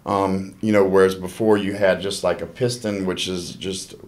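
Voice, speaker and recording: A man in his thirties with a fairly low voice speaks as an interview guest. His talk is halting, full of ums and restarted phrases. He sits at a desk podcast mic in a small office. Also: fairly even intonation, a little mellow. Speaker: HVAC technician guest. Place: small office studio, indoors.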